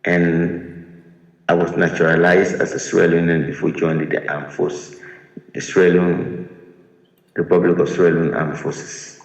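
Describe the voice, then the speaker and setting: A man's voice: deep voice, flat delivery, measured pace, gravelly, highs cut off. A man from Liberia, a retired soldier telling his own story in an earnest, pleading way. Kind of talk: personal testimony to camera. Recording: echoey room with long reverb.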